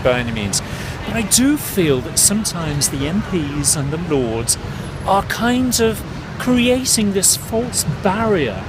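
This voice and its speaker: A man from the UK, a consumer campaigner, talking earnestly and directly to viewers outdoors. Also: a little high. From United Kingdom. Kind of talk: outdoor campaign vlog to camera. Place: outdoors on a city street with traffic. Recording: outdoor street noise.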